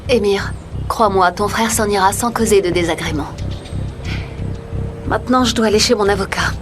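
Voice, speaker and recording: A woman acting a calm, scheming and controlling character in a French-dubbed soap. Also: mid-range voice, moderate pace, noisy background, lightly acted. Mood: calculating reassurance. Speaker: dubbing actor. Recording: music under the dialogue.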